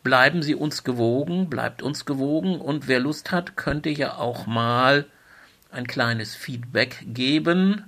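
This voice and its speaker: A man's voice: medium pitch, slow pace, bright, forceful tone. A man hosting a podcast in an informal moderating style. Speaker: podcast host.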